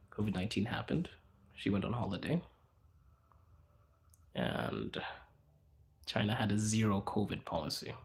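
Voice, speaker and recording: Young guy livestreaming in public, talking low and quiet, bit of gravel in his voice. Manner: speaking quietly in an inside voice, laid back. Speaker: livestream host answering chat. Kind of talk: casual livestream chat. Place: out in public in a city, people around.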